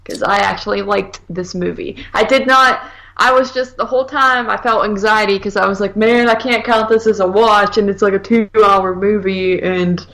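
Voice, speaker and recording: A woman co-hosting a podcast in casual movie chat, blunt and slangy, quick to argue her point. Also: mid-range voice, fairly even intonation, a bit bright, low-quality recording. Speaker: podcast co-host.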